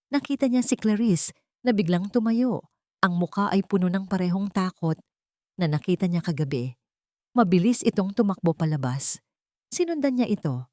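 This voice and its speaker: A woman's voice: on the low side, a little mellow, no echo, studio quality. A woman with a Filipino accent narrating a dramatic scripted story. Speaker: audiobook narrator.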